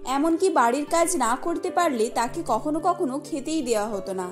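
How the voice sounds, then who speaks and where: A woman's voice: high voice, expressive, moderate pace, over music. A woman narrating a scripted cartoon story.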